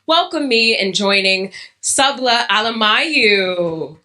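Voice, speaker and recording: Bright-voiced upbeat woman emcee. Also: a little high, lively intonation, slow. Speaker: event host. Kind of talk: hyping up a crowd. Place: conference hall.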